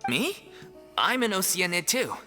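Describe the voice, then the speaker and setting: A man's voice: high voice, big pitch swings, a little slow, pushed and bright, heavily acted. A man voicing an easygoing, good-humoured stage actor with a jovial manner in an anime game. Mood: cheerful. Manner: jovial, unbothered. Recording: faint music behind the voice.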